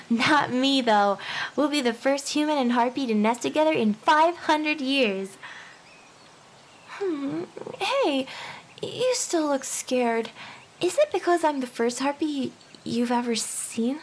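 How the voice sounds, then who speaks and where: A woman playing an eager, nervous young female harpy in an anime ASMR roleplay, halting and flustered, with stammers and breathy, whispery touches. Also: high voice, expressive, slight background noise. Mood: coaxing. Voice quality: breathy, often slipping into whispers.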